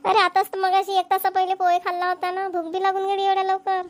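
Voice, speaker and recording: A woman's voice: very high voice, completely flat delivery, quick pace, no echo. A woman voicing a fretful homemaker mother in a Marathi cartoon comedy, with a rural Vidarbha accent, fussing and thinking aloud. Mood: mildly scolding. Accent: Maharashtrian, rural Vidarbha dialect. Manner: fussing, talks problems through aloud. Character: fretful homemaker mother of two young children.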